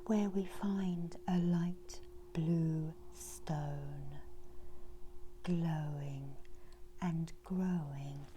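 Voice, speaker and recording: A middle-aged woman whispering a guided ASMR meditation in a breathy, hushed, measured voice with long pauses, as a reiki healer. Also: low voice, fairly even intonation. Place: small indoor room. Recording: clear background noise and ambience.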